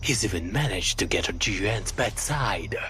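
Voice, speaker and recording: Man acting a low, brooding hedgehog rival. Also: animated, unhurried, full character voice. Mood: scornful. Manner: terse, sardonic. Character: brooding gruff male hedgehog rival, cold and scornful. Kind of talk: video game cutscene acting. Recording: loud music over the dialogue.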